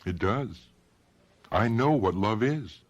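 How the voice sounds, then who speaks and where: An actor playing a smitten, skeptical suitor in a radio drama, with a casual, halting, teasing way of talking. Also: male voice, lowish voice, lively intonation, dull audio. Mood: tender.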